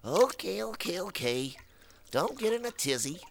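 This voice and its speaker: A man playing a goofy, clueless grown-man sidekick who rambles slowly and hesitantly in a comic skit. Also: high-pitched, very animated, creaky, background noise, full character voice.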